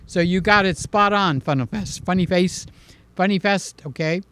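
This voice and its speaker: A man's voice: high voice, moderate pace, fairly bright tone, clean tone. A man hosting a casual live stream, answering viewers and stressing his points with a repeated "okay?". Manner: emphatic, ends points with "okay?". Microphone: mic placed in front of him.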